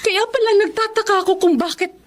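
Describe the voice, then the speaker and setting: An actress playing a betrayed middle-aged Filipino wife in a radio drama, emphatic and emotional. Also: female voice, very high-pitched, fast talker. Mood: stern, accusing. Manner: emphatic, emotional, pressing with repeated questions. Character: betrayed middle-aged wife and bakery owner, wounded, accusing.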